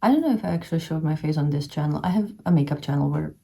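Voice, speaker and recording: A woman's voice: low voice, animated, racing, creaky, warm tone. A young woman with a Czech accent, chatting in a book vlog, rambling with many fillers and restarts. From Czech Republic. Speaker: book vlogger. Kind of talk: casual reading vlog chat. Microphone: camera showing only her hands.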